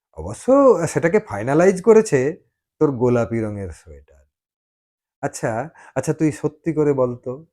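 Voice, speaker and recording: A man's voice: fairly high pitch, warm tone. A man voicing a cocky young man, breezy and teasing with an old childhood friend, in an audio love story.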